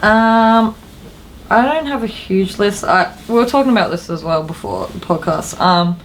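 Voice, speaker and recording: A woman's voice: medium pitch, moderate pace, rough recording. A woman co-hosting a podcast, speaking in a casual conversational style. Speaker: podcast co-host. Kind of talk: casual chat. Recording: faint room noise behind voice.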